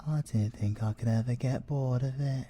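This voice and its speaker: A man's voice: mid-range voice, fairly even intonation, mellow, close to an everyday voice. A British man playing a playful, possessive, seductive siren villain in a theatrical ASMR audio roleplay. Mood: smug, teasing. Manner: teasing, theatrical, drawn-out delivery with drops into whispering.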